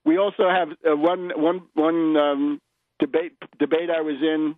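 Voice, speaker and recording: Male political radio host, somewhat high voice, emphatic and opinionated delivery, dry, slightly lo-fi broadcast sound. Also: level tone, a little slow, slight background noise. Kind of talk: unscripted political radio commentary.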